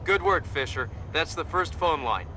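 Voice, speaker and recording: An actor playing a brisk, businesslike mission operations officer in a video game, briefing in a crisp, informal manner. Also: male voice, fairly high pitch, lively intonation, background noise, lightly acted.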